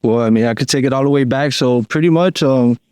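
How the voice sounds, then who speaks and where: A Cuban-born man, a guest talking casually on a podcast in a slangy, relaxed way full of fillers. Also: mid-range voice, fairly quick, clear, resonant tone, no room sound, clean studio sound. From Cuba. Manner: laid-back slangy talk with many fillers.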